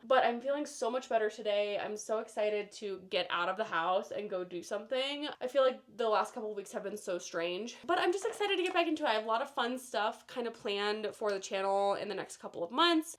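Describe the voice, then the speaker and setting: A woman's voice: mid-range voice, brisk, few pauses. A woman chatting casually to camera while doing her makeup. She sounds slightly nasal and stuffed up from a cold, and she rambles with lots of "like" and "you know.". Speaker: beauty vlogger.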